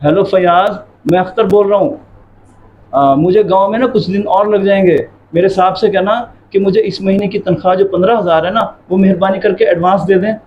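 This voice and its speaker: A man's voice: sits high, steady tone, natural voice. A man acting as an honest, respectful household servant in an amateur moral skit. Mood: obedient. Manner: earnest, deferential. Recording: dull lo-fi sound, faint noise.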